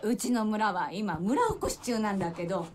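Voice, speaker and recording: A woman's voice: medium pitch, fast, a little hiss or hum, natural voice. A woman playing a bubbly, folksy innkeeper with a rural Japanese dialect in a visual novel, heard as game audio in a livestream. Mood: eager, welcoming. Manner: animated, folksy.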